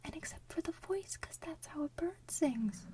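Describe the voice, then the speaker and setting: A woman's voice: extremely high pitch, lively intonation, recorded from a distance, voice pushed up. A woman voicing a devoted, tender female bird in a whispered storytelling. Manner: soft whisper, slow lilting delivery. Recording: faint hiss, off-mic.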